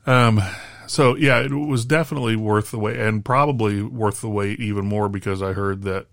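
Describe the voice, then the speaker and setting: A man co-hosting a casual podcast chat, his voice raspy and hoarse from screaming at a concert, rambling with lots of fillers. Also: on the low side, steady tone, moderate pace, a bit bright, no echo, clean studio sound. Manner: rambling, filler-heavy delivery. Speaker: podcast co-host.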